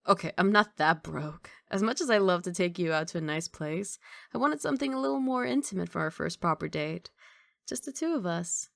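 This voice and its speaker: A woman acts out a young mafia boss girlfriend with a lowish voice and a very dry, close sound. She is confident and doting, teasing her partner playfully in an intimate one-sided ASMR roleplay. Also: lively intonation, a little fast, creaky, no echo. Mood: affectionate, assured.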